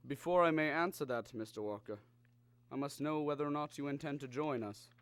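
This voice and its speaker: A man playing a calm, superior alien visitor in a radio drama, speaking formally and with measured condescension. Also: on the high side, clear, resonant tone, dry recording, close to an everyday voice. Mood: firm, cool. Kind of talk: old-time radio drama acting.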